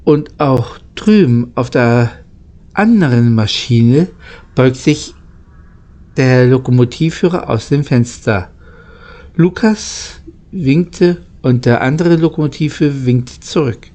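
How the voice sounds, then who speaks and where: Slow-reading man with a mellow voice. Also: mid-range voice, expressive. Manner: halting, stumbling over words. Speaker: amateur audiobook reader. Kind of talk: reading a children's story aloud.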